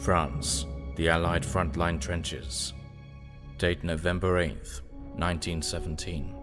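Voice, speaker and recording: A man's voice: low-pitched, flat delivery, slow pace. A man giving solemn title-card narration for an audio drama, in a grave manner. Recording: music behind the voice. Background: music.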